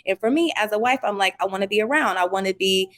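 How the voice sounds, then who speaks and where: A woman in her forties, a podcast guest, telling stories in a relaxed conversational way with many fillers and lively acted-out quotes. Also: mid-range voice, fast talker. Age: 40s. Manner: animated storyteller acting out quoted remarks, many "like" fillers. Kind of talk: casual conversational interview.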